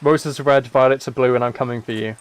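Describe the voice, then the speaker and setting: Young man, British accent, medium pitch, casual live-stream chat, rambling, thinking aloud. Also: steady tone, fast talker, clear, resonant tone. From United Kingdom.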